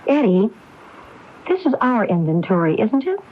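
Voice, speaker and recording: An actress playing a determined, anguished widow in a radio mystery drama, speaking in an insistent, probing way. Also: female voice, a little high, big pitch swings, moderate pace. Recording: dull lo-fi AM radio broadcast recording.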